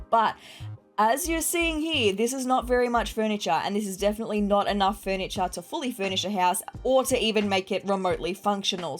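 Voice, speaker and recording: A young Australian woman narrating a game build in a chatty, apologetic way, sounding slightly nasal while recovering from an illness. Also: mid-range voice. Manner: chatty and apologetic, quick asides.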